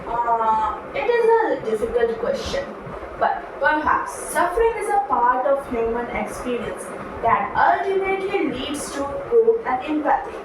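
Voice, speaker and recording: A woman acting as interviewer in a scripted puppet show, with a deliberate, recited delivery. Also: high voice, very animated. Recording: very echoey room, distant mic, noisy.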